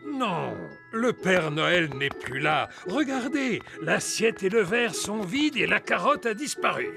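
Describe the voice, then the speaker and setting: Jovial grown male pig for cartoon: creaky, animated, bustling. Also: sits high, takes it slowly, music in the background, exaggerated voice. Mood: hearty. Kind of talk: French children's cartoon dubbing.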